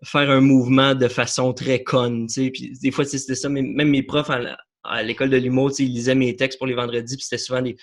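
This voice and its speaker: A man's voice: medium pitch, flat delivery, fast talker. A young man with a Québécois accent talking casually about a film, rambling with many fillers and restarts. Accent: Québécois French. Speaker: podcast guest. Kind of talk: casual chat about films.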